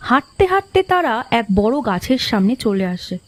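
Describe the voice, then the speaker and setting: A woman's voice: a little high, big pitch swings, brisk, background noise. A woman narrating a scripted horror cartoon story.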